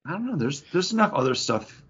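A man's voice: on the high side, sing-song, fast talker, fairly bright tone, slightly rough, highs cut off. A man co-hosting a podcast, discussing films in a casual, conversational and somewhat hedging way. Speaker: podcast co-host.

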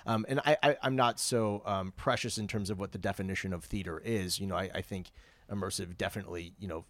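A man's voice: low-pitched, steady tone, fast, fairly bright tone, dry recording. A man guesting on a theater chat, earnest and thinking aloud with many restarts. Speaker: guest, theater company producer. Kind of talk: casual chat. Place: dark outdoor hollow at a theater venue.

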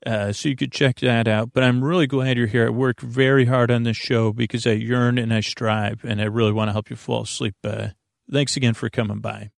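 A man with a creaky voice hosting a bedtime podcast in a meandering, halting, drawn-out monologue that keeps wandering off on tangents. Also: medium pitch, flat delivery, dry recording, studio quality. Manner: hesitant, halting, drawn-out, digressive. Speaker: podcast host.

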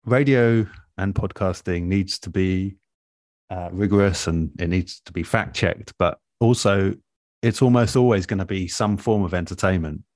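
Man, very low pitch, measured, reflective, pausing mid-phrase, conversational, dry recording. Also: darkish tone, dry recording. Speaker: radio producer being interviewed. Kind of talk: relaxed conversational interview, reflective advice.

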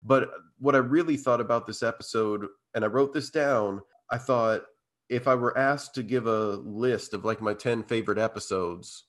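Male podcast co-host, mid-pitched, a bit hoarse and stuffy, loose rambling chat. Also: normal speed. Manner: rambling, full of fillers and restarts. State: slightly hoarse and blocked up. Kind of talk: casual chat.

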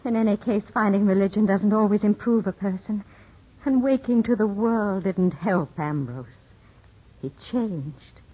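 An actress in a 1950s radio drama playing a poised, enigmatic middle-aged widow, speaking in a measured, intimate, restrained way. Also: female voice, mid-range voice, husky. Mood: wistful, reflective. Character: poised, enigmatic widow of about thirty-five, wounded and gracious. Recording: dull, muffled old radio recording.

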